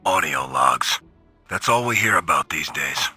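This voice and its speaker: A blunt machine soldier recording a log for a video game. The voice is very low, with a hard, forceful edge, terse and gruff over faint music. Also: male voice, expressive, measured pace, full character voice. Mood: sardonic, gruff. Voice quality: gravelly, raspy. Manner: terse, matter-of-fact, gruff. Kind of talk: scripted in-character audio log.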